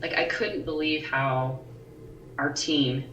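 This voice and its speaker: A woman in her forties with a deep, scratchy voice, co-hosting a casual podcast chat and often pausing to search for words. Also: a bit bright, lo-fi sound. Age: 40s. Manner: pauses and searches for words, frequent ums. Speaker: podcast co-host. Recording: roomy, slightly distant mic with faint ambient noise.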